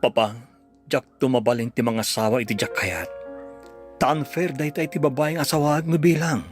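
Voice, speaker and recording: A man's voice: mid-range voice, steady tone, moderate pace, faint music behind, close to an everyday voice. A man playing an earnest, pained son and devoted husband in a radio drama, pleading, with an Ilocano accent. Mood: pleading, reproachful.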